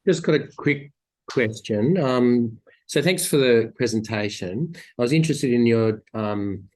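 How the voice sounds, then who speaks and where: A man's voice: medium pitch, animated, unhurried, darkish tone, low-quality recording. A man in the audience asking a long, tentative question with many ums. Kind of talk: academic question.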